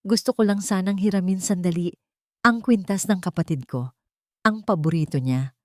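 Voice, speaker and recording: A woman's voice: lowish voice, normal speed, dry recording, clean studio sound, lightly acted. A woman voicing a haughty, scheming rich villainess in a story, with a mocking, theatrical manner. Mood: sly.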